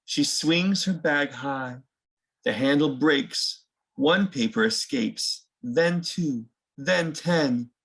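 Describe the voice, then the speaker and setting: A man's voice: on the high side, slow, gravelly, a bit bright, low-quality recording. A man hosting a children's picture book read-aloud, mixing story narration with casual explanatory asides.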